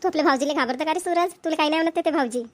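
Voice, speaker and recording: A woman's voice: high-pitched, very fast, natural voice. A woman voicing an easygoing married sister in a family cartoon, familiar and chatty, with a Vidarbha Marathi accent. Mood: teasing. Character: married daughter visiting parental home, easygoing sister. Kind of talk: amateur family cartoon voice acting.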